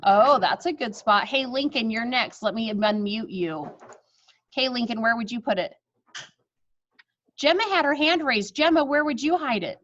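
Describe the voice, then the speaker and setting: High-voiced woman teacher reading aloud. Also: animated, moderate pace, fairly bright tone, a little hiss or hum. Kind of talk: storybook read-aloud with chat to pupils. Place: online video class. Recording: dull lo-fi video call.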